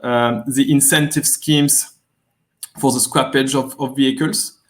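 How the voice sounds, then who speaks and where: A man from France with a French accent, a guest executive speaking in an online interview, measured and hesitant, with many fillers. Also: mid-range voice, steady tone. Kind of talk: webinar interview.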